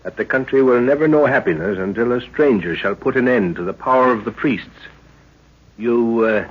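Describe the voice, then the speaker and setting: An actor playing a commanding, fatherly middle-aged professor and adventurer, brisk and authoritative, in old radio serial style. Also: mid-range voice, breathy edge. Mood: thoughtful. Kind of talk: 1930s-40s radio serial acting. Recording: muffled old radio recording.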